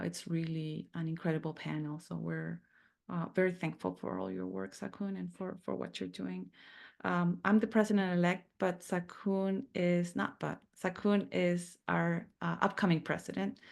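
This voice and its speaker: A woman's voice: on the low side, creaky, a little mellow. A woman hosting an online briefing as club president-elect, speaking hesitantly with many fillers and restarts over a video call. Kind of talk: introducing a panel briefing.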